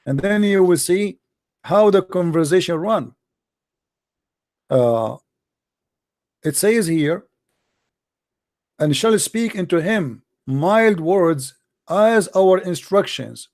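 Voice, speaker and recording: A man's voice: sits high, animated, slow, long pauses, darkish tone, dry recording. A man whose first language is Arabic, hosting a heated debate with a caller and arguing insistently as he talks over him. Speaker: host.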